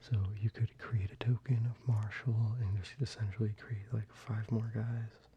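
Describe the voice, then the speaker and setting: An ASMR creator whispering softly and closely, with frequent ums and restarts while showing their collection. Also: mid-range voice, little pitch movement, moderate pace, breathy edge, muffled recording.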